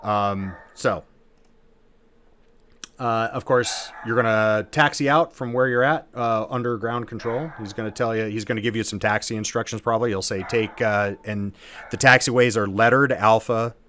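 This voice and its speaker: A man's voice: fairly low pitch, steady tone, normal speed, a bit bright, slight background noise. A man teaching as an instructor in an informal, conversational way, explaining things step by step with technical jargon. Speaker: host and instructor of an aviation lesson.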